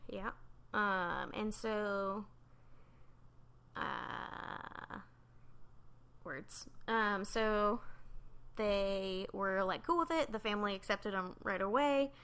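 A woman's voice: medium pitch, animated, drawn-out words, pauses often, a bit bright, smooth. A woman co-hosting a podcast, telling a true crime story in a loose, chatty way full of "um" and "like". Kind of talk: casual storytelling chat.

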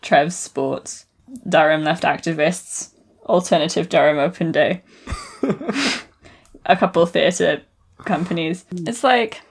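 Low-pitched woman telling chatty stories. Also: takes it slowly, pauses often, husky. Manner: chatty, laughs through stories. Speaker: podcast co-host. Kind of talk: casual chat.

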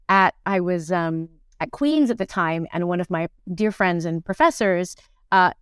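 A middle-aged woman telling stories casually as an interview guest, animated, rambling and self-deprecating. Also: fairly low pitch, no echo, studio quality. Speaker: interview guest, musical theatre performer and teacher. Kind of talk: casual conversational storytelling.

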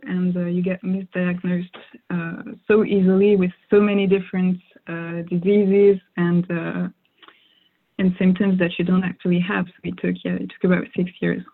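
A woman's voice: on the low side, little pitch movement, moderate pace, gravelly. Woman on a tinny phone-like line. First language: French. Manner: thoughtful, many "uh" fillers and restarts. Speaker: interview guest. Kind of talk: conversational interview answers. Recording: phone-like narrow sound.

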